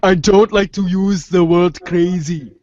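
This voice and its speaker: A man's voice: sits high, steady tone, measured pace, darkish tone, no echo, lo-fi sound. A man playing a pedantic, probing, wordy amateur psychoanalyst in reader's theater, formal and analytical, tripping over long phrases.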